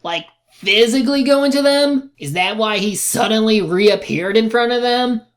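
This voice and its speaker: A woman's voice: on the high side, normal speed. A woman reviewer delivering scripted narration with a sarcastic manner.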